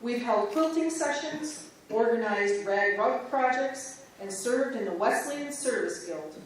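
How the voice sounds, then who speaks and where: A woman reading a church history script aloud in reader's theater, in a plain, steady way. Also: a little high, measured pace, a little hiss or hum. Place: large church sanctuary. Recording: echoey church from far off.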